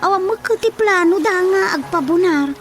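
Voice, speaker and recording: An actress playing a chatty, gossipy village woman in an Ilocano radio drama comedy, with an Ilocano accent and an animated, familiar delivery. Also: very high-pitched, moderate pace, soft background music.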